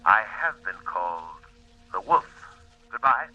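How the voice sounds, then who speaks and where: An actor playing a menacing criminal mastermind, speaking formal, ominous lines over a filtered telephone in a 1940s radio drama. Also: male voice, fairly low pitch, sing-song, over music. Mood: cold menace. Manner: slow, ominous, formal pronouncements. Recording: muffled old radio recording, telephone filter.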